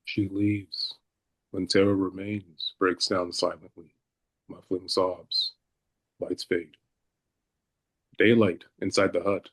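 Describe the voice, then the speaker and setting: A man narrates the stage directions of a drama. His voice is on the low side and stays flat in pitch. The delivery is that of plain scripted narration. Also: takes it slowly, long pauses.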